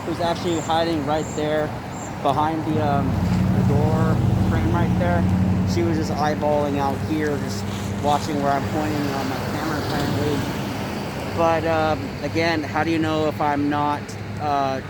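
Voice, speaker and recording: A man with a fairly high-pitched voice talks through an unscripted outdoor vlog. He explains himself in a halting way, pausing as he goes. Heavy street and vehicle noise surrounds him throughout. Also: little pitch movement. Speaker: public photography vlogger. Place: outdoors at a small-town street intersection.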